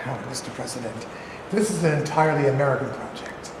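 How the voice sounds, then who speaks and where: A man playing a deferential government official in a staged play reading, halting and formal, heard from afar in an echoey hall. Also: mid-range voice, lightly acted. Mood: formal, explanatory. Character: deferential male government official briefing his president. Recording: distant mic, echoey hall, clear noise.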